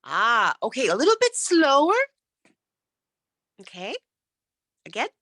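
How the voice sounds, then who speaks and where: A woman's voice: fairly high pitch, big pitch swings, unhurried, a bit bright, no room sound, clean studio sound. A woman coaching public speaking in an interactive lesson, encouraging and emphatic as she demonstrates.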